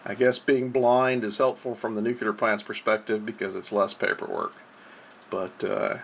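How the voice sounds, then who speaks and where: An American man explains things informally in a creaky, fry-laden voice. His delivery is hesitant, broken by long uhs, like a blogger talking through material on a screen. A faint noise sits behind his voice. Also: fairly low pitch, flat delivery, fairly bright tone. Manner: halting, hesitant delivery with frequent drawn-out uhs. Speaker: blog host. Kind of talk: informal explanatory commentary over on-screen reports.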